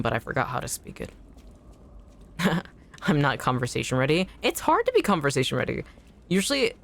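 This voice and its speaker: A streamer whose first language is Anishinaabemowin, chatting casually with viewers while playing, swearing and yelping at enemies mid-sentence. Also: medium pitch. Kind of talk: casual gaming stream chat.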